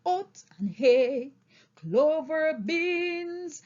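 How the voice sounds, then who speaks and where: Casting: woman as old boar orator for a storybook, high voice, slow solemn emphatic delivery, dull lo-fi recording. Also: expressive, darkish tone, exaggerated voice. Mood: rousing, singing. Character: old male boar, solemn rousing orator to fellow animals. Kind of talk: audiobook character speech and song.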